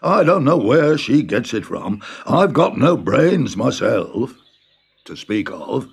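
A man's voice: mid-range voice, very animated, slow pace, gravelly, husky, full character voice. A man voicing a humble, anxious old peasant farmer in a storybook reading, with a fawning, fretful manner.

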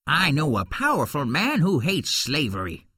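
A woman voicing a helpful man, a family friend, who speaks calmly and reassuringly in a storybook reading. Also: low voice, normal speed, slightly rough, no echo, studio quality, heavily acted.

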